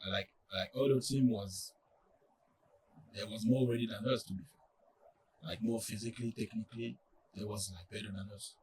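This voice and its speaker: A young man from France with a French accent, speaking English as a second language in a casual interview, halting and full of fillers. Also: on the low side, recorded from a distance, slight background noise, low-quality recording. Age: young adult. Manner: halting, many fillers and restarts. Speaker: college soccer player, guest.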